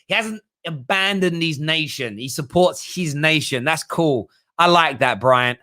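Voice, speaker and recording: A man's voice: on the high side, expressive, measured pace, pushed and bright. A man hosting a football fan livestream, chatting bluntly and emphatically about viewer comments and often repeating himself. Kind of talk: casual live fan talk, reading out viewer comments.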